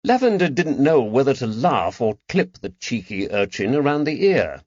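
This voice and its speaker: A man's voice: mid-range voice, very animated, moderate pace, darkish tone, no echo, dull audio. A man reading a mystery novel as a scripted audiobook narration, recorded close in a dry space.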